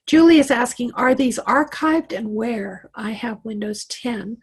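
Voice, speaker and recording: A middle-aged woman from Wyoming with a Western American accent tinged Southern, talking through a computer mic on a video call. Her voice is deeply husky and sits a little high, and she is chatty and reassuring, looping back with fillers as she coaches a class. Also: measured pace. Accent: Western American with a slight Southern tinge. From Wyoming, USA. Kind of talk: live online tech class.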